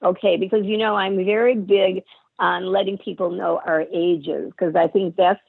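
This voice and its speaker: A woman's voice: medium pitch, fairly even intonation, moderate pace, vocal fry, husky, no room sound. A woman in her eighties, a guest in a casual interview, who talks frankly and often interrupts. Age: 80s. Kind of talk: conversational interview. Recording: tinny phone line.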